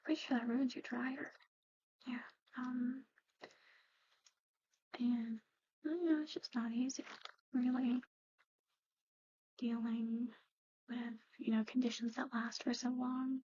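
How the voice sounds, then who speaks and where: A woman doing a whispered ASMR medical roleplay, explaining things gently and hesitantly as a matter-of-fact clinic practitioner. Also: fairly high pitch, fairly even intonation, slow pace, long pauses, highs cut off. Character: soft-spoken, matter-of-fact woman at an eerie old clinic. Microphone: close-up ASMR microphone.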